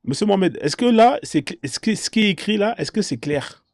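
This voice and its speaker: A man's voice: high voice, expressive, fairly quick, no room sound, clean studio sound. A man hosting a live online debate, polite but insistent, repeating his requests to callers.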